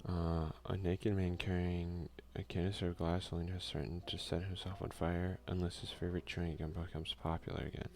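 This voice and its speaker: Man, deep voice, gravelly fry, soft-spoken casual gaming commentary, muttered asides. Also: little pitch movement, brisk, few pauses. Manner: reads game text aloud, mutters offhand asides. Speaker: let's play host.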